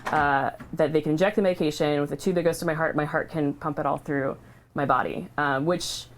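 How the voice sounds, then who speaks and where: Woman, livestream host. Slightly low pitch, candid and heartfelt, feels her way through sentences haltingly. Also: fairly even intonation, a little hiss or hum. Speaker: charity livestream host sharing her cancer treatment story. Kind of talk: casual, heartfelt unscripted talk.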